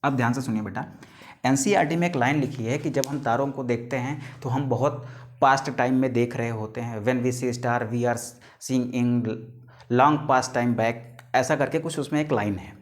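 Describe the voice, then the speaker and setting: A man in his thirties teaching biology, who calls his students "beta", asks them questions and answers them himself, and stretches terms out word by word. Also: medium pitch. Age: 30s. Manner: stretches key English terms out slowly, word by word. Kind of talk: classroom lecture to students.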